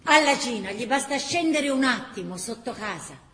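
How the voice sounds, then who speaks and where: An actress playing a lively Florentine woman, telling a wartime story in colloquial theatrical style. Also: on the high side, moderate pace, slight background noise. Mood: animated. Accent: Florentine Tuscan. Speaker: actress-narrator. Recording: roomy stage echo.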